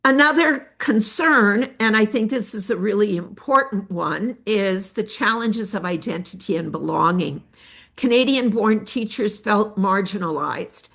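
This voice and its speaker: An older woman researcher giving an academic presentation of study findings, measured and pausing often with fillers. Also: mid-range voice, expressive, creaky, fairly bright tone. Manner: measured, with frequent filler pauses.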